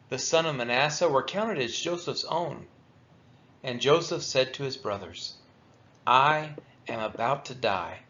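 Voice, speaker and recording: A man reading scripture aloud for a daily read-along, with measured, solemn and deliberate phrasing. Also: a little high, a bit bright. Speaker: Bible reader for a church daily read-along. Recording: dull, lo-fi sound.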